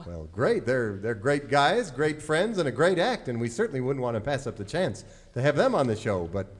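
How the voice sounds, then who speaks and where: Lively mock-pompous male radio host. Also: medium pitch, normal speed. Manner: dry, mock-pompous straight man. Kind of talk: live radio comedy sketch.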